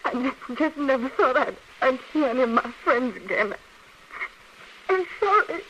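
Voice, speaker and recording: A woman playing a frightened, evasive frontier wife with a halting, nervous delivery in an old radio western drama. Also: high-pitched, very animated, sharp tone, full character voice. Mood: startled, overcome. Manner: halting, nervous, breaking off and repeating. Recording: noisy vintage radio recording.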